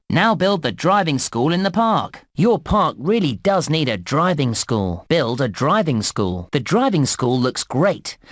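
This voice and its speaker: A man playing a cheerful, encouraging theme park guide in a game, giving scripted instructions in a clear presenter's delivery. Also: on the high side, no echo, clean studio sound. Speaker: game narrator and tutorial guide.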